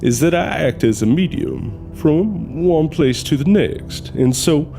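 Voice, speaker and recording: Gravel-edged, animated Southern gentleman mortician. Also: a little high. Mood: solemn. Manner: courteous, hesitant, drawn-out folksy phrasing. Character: folksy Southern mortician who acts as medium to the dead. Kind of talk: audio drama acting. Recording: music under the voice.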